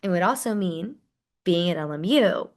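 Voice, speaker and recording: A woman's voice: on the low side, lively intonation, moderate pace, natural voice. A woman playing a friendly, grateful college program associate, chatting enthusiastically in a first-person stage narrative. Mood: earnest, passionate. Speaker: performer portraying a university program associate. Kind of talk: dramatized first-person interview narrative, conversational.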